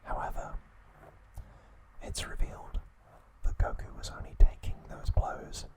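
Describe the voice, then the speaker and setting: A man whispers his way through ASMR narration at a very slow pace. He speaks deliberately, breaking sentences into short phrases with pauses between them. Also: low-quality recording. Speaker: ASMR host.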